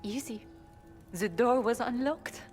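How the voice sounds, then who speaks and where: A woman's voice: a little high, slow, a little mellow, faint music behind. A woman playing a nervous, wary palace servant in a fantasy game, hesitant and uneasy. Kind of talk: fantasy game cutscene acting.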